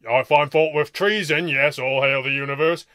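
A man's voice: fairly high pitch, fairly even intonation, normal speed, bright, forceful tone, dry recording. A man as a bossy, officious alien stickler. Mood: cross, scolding. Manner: officious, emphatic, scolding.

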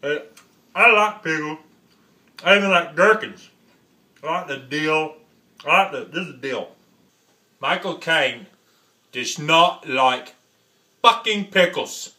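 A man impersonating a gruff older film star, emphatic and indignant. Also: on the high side, slow, long pauses, sharp tone, full character voice.

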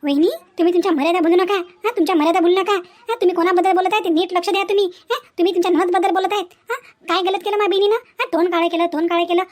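A woman's voice: extremely high pitch, steady tone, rapid-fire, hardly any pauses. A woman voicing an outraged young sister-in-law in a family cartoon, confrontational and repeating her accusations in defence of her sister. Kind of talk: Marathi family cartoon dialogue.

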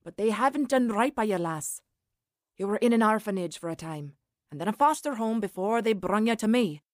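An actress playing a kindly, plain-spoken Scottish aunt in a radio drama, homely and matter-of-fact. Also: female voice, medium pitch, animated, brisk, no room sound, studio quality, natural voice. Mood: frank, consoling.

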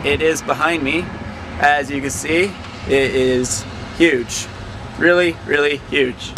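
Young man, upbeat and joking, self-filmed handheld camera, slightly high voice, faint noise. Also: steady tone, slow. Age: young adult. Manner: upbeat, joking tour-guide talk. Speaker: vlog host. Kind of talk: casual vlog narration. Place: van, parking lot, hotel. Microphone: handheld camera close to face.

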